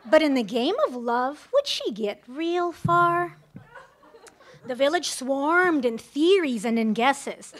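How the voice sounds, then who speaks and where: A woman's voice: very high-pitched, fairly bright tone. A woman giving a wedding speech to guests, partly reading a rhyming storybook in a playful, theatrical way. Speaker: wedding speech giver, friend of the bride. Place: live in front of wedding guests.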